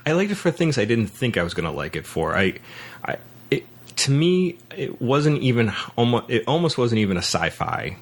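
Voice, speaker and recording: A man hosting a podcast in a casual movie discussion, speaking in halting, hesitant phrases with repeated word starts. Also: mid-range voice, big pitch swings, breathy edge. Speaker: podcast host.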